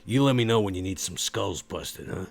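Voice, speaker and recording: A man playing a gruff, loyal, easygoing vampire bruiser in a tabletop game, with a laconic, folksy way of talking. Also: low voice, expressive, normal speed, exaggerated voice. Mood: gruff, laid-back.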